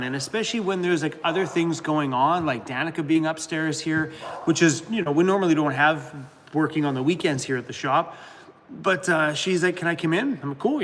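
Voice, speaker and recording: A man's voice: a bit bright, slight background noise. A man in his fifties casually hosting a live stream and reading out viewer comments. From Canada. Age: 50s. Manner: rambling, talks to his dog in a high coaxing voice.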